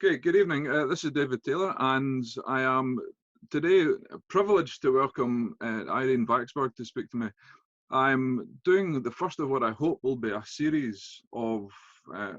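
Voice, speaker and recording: A man with a thick Scottish accent hosting an interview, talking in a halting way with many fillers and restarts. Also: medium pitch, animated, a bit bright, no room sound, lo-fi sound. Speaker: interview host. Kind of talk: casual interview chat.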